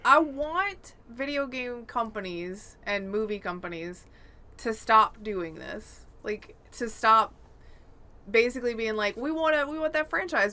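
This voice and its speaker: A woman's voice: on the high side, pushed and bright. A woman co-hosting a podcast, talking casually and giving opinions in an exasperated, emphatic way. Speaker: podcast co-host.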